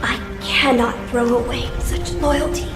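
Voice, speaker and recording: An actress playing a serene, solemn young noble in a video game cutscene. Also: female voice, a little high, fairly even intonation, unhurried, close to an everyday voice. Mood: solemn, tender. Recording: echoey, music behind.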